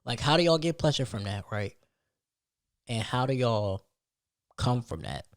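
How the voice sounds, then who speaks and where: A man's voice: mid-range voice, animated, measured pace. A man hosting a podcast, telling a personal story as a casual, slangy rant, leaning on repetition and rhetorical questions. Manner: emphatic, slangy, repeats phrases and rhetorical questions.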